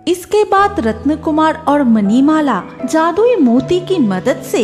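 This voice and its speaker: A woman's voice: high voice, sing-song, takes it slowly, darkish tone, soft background music. A woman narrating a children's cartoon story from a script.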